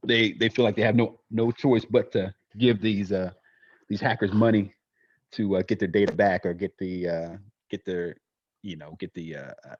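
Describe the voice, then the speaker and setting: A man co-hosting a casual podcast chat, hesitant with many fillers and quick to laugh. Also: deep voice, a little mellow, slightly rough, no room sound, highs cut off. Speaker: podcast co-host.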